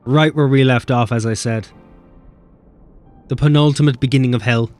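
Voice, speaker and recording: A man's voice: mid-range voice, soft background music. A man streaming a game, chatting casually and swearing as he bickers with chat. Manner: animated, swearing, quick banter with chat.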